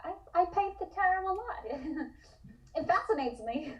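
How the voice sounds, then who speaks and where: A woman's voice: extremely high pitch, lively intonation, measured pace, a bit bright, heavily acted. A woman acting a timid, earnest young woman in a table read, anxious and hesitant. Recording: slightly distant microphone.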